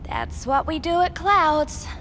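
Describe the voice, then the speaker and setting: A woman's voice: high-pitched, lively intonation, slow pace, fairly bright tone, in a large hall, a little hiss or hum, full character voice. A woman playing a wary, indignant club worker in a video game, curt and evasive.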